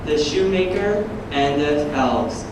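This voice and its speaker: A man's voice: fairly high pitch, animated, slow pace. A man acting as concert emcee, announcing the next act to an audience. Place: large hall at a school concert. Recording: echoey hall, distant mic, noisy.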